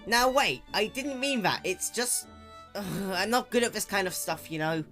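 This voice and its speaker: A woman voicing a brash, cocky tomboy pegasus pony in an excitable, slangy way for a dramatic fan-fiction reading. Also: mid-range voice, moderate pace, faint music behind, pitched above the performer's own voice, lightly acted. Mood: awkward, flustered.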